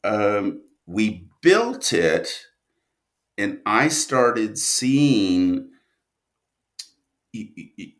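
A man with a very low voice talks through his work in a reflective interview. His delivery is slow and stop-and-start, yet the intonation moves widely, with drawn-out stresses on key words. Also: big pitch swings. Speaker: guest writer and producer of audio drama.

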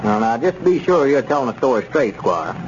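No-nonsense rural townsman played by a man, firm and accusing, lively intonation, old noisy radio recording. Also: medium pitch, fairly quick, muffled recording, lightly acted. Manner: firm, accusing, plain-spoken. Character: stern, no-nonsense small-town man interrogating a suspect. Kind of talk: 1930s radio comedy serial acting.

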